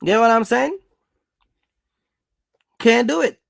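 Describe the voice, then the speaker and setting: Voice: medium pitch, animated, slow pace, creaky, no room sound, clean studio sound. A speaker gives casual motivational advice as a host, talking emphatically, repeating points and checking in with listeners. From Jamaica. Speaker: host, trucking business coach.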